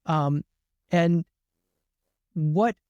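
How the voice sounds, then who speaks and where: A man's voice: fairly high pitch, very slow, darkish tone, clear, resonant tone, dry recording, highs cut off. A man hosting a casual interview, feeling his way through rambling, tentative questions. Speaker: interviewer, host.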